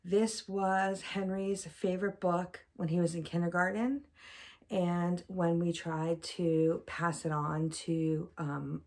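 A middle-aged woman chatting informally to a child on a home video before and after reading aloud. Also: deep voice. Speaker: parent volunteer reading aloud. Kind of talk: casual chat to a child on video. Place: small furnished room at home, seated on sofa.

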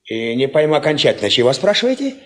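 A flippant young village lad in an old radio drama. The voice is high and very lively in pitch, brazen and slippery, with a roomy echo. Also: male voice, quick pace. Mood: feigned puzzlement. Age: young adult. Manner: brazen, dismissive. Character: cocky, evasive young peasant farmhand, flippant. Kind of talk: Soviet 1950s radio play acting.

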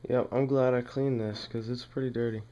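A man giving a casual hands-on tutorial at home, talking plainly and haltingly as he works. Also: mid-range voice, flat delivery, mellow, muffled recording. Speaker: demonstrator in a home how-to video. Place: small dim room indoors at home.

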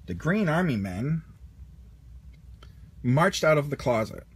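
A man narrating a children's storybook as an expressive read-along. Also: on the high side, takes it slowly, breathy edge. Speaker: storybook reader.

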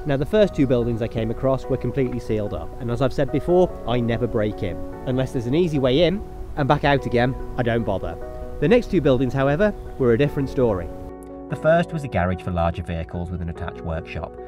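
A man's voice: medium pitch, lively intonation, quick pace, over music. A man in his thirties touring derelict sheds, with wry, chatty commentary and voice-over. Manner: wry, chatty, marvels at decay. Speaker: urban explorer vlogger. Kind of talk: on-location exploring commentary and voice-over narration.

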